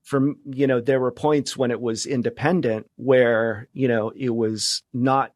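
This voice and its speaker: A man talks as a guest in a relaxed interview. His voice sits at an average pitch in a very dry recording. He speaks reflectively and haltingly, circling back to restart phrases. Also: no room sound. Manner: halting, reflective, many false starts. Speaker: podcast guest, media founder and journalist. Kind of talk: casual interview conversation.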